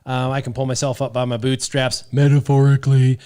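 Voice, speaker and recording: A man's voice: mid-range voice, flat delivery, brisk, a little mellow. An American man chatting and joking casually as a podcast panelist.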